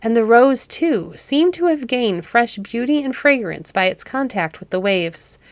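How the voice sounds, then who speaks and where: A woman's voice: on the high side, expressive, a little slow, dry recording. A woman narrating a classic children's story as a read-aloud, in a dry, close recording. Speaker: storybook narrator and podcast host.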